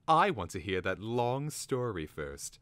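A man's voice: on the low side, sing-song, a little slow, fairly bright tone, no echo, voice pushed up. A man voicing an earnest, stubborn young lad in a storybook, speaking in an insistent, plain way.

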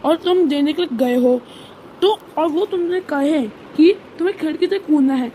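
High voice, cartoon interviewer acted by a woman, scripted riddle quiz, host role. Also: normal speed, mellow, slight background noise, natural voice, low-quality recording. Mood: quizzing, composed.

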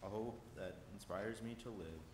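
A young man reading a poem aloud at a church lectern, earnest and measured. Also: lowish voice. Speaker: youth reader. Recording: echoey church room with noise.

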